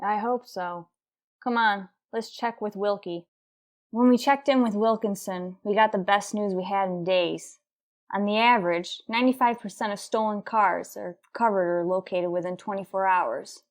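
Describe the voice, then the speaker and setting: A woman's voice: medium pitch, moderate pace, natural voice. Police sergeant, played by a woman: businesslike, clipped, matter-of-fact narrator for a retro radio cop drama, mid-range voice.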